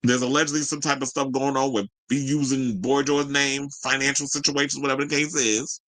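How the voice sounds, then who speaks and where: A man's voice: mid-range voice, level tone, a little fast, a bit bright, low-quality recording. An American man hosting a celebrity news show, reading stories out and breaking off into sassy, colloquial, casual asides. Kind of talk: casual gossip commentary mixing read-out news with ad-libbed asides.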